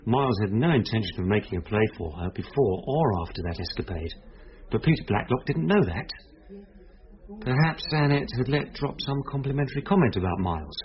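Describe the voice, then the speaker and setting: An actor playing a calm, reassuring police detective who explains things gently in a radio drama. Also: male voice, medium pitch, fairly quick, natural voice. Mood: matter-of-fact. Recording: slightly dull radio recording.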